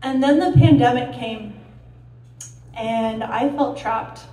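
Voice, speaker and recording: A woman reciting slam poetry in a deliberate, dramatic, emotionally intense way, with pauses and emphasis. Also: a little high, dark tone. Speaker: slam poet. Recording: roomy echo, distant mic.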